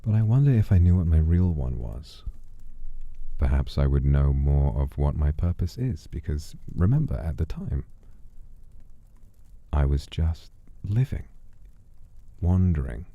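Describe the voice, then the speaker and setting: A man's voice: rumbling low, expressive, a little slow, pauses often, warm tone, dry recording, muffled recording. A man livestreaming as an old demon persona, telling stories in a musing, theatrical way on a close microphone. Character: centuries-old wandering voice demon streamer persona. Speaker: livestream host. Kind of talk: in-character storytelling and casual livestream chat. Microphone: close studio microphone, dry.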